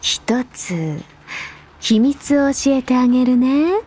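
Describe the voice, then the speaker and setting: A woman voicing a composed, gentle and protective sisterly figure in an anime game, soft-spoken and deliberate with a quietly teasing edge. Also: high-pitched, lively intonation, slightly rough, heavily acted. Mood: tender, confiding. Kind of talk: Japanese anime game voice lines, intimate delivery. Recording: background music under the voice. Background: music.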